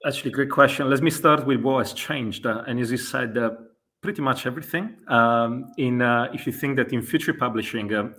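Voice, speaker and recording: Italian-accented man, halting and hesitant. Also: mid-range voice, fairly bright tone. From Italy. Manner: hesitant, many fillers and self-corrections. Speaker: guest, e-commerce consultant. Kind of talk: casual interview answers.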